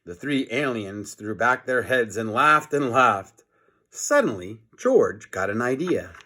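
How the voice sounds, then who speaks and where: A man reading a children's story aloud in an expressive, playful way, with dramatic pauses and asides to the listeners. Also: mid-range voice.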